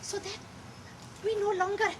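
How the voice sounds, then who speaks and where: A woman's voice: very high voice, little pitch movement, normal speed, a loud crowd. A woman performing a comedic dramatic reading, theatrical and gushing. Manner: theatrical, gushing, sing-song delivery. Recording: noisy live room recording.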